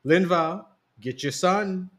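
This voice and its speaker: Male narrator playing a sly, sharp-tongued mother, raised pitch, in charge of the house. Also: slow. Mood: stern. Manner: sly, teasing, bossy. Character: sly, commanding mother and wife.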